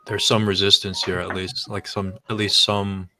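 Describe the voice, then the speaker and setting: A man's voice: sits low, pushed and bright, slight background noise. A man from the United States chatting casually on a group stream. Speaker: stream chat participant. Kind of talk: casual chat.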